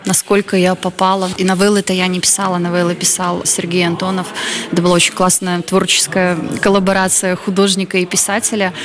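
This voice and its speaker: A woman's voice: on the low side, little pitch movement, a little fast, a crowd in the background. A woman artist answering radio interview questions in an earnest, reflective way.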